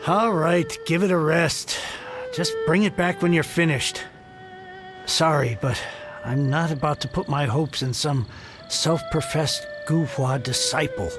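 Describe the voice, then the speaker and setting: A skeptical townsman from a video game, acted by a man. His voice sits a little high for a man, impatient and wearily dismissive, with music clearly playing underneath. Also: measured pace, natural voice.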